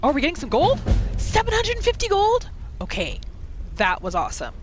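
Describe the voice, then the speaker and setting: A woman hosting a gaming video with casual, excitable commentary, exclaiming and narrating what her character thinks. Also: very high-pitched, a little fast, music in the background.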